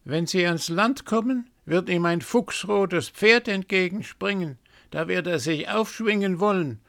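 Guy doing a squeaky high raven from a storybook, ominous and knowing, slow. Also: creaky, a bit bright, exaggerated voice. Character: first raven, a prophesying bird.

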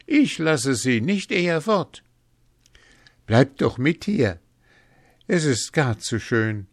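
Guy doing a cocky fairytale farm boy, shouty and bossy, voice way up high. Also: lively intonation, a little slow, dry recording, studio quality. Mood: boastful, excited. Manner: shouting, blustering, rustic. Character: brash, fearless young village lad, boisterous and scolding. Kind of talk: fairy-tale storybook character voice.

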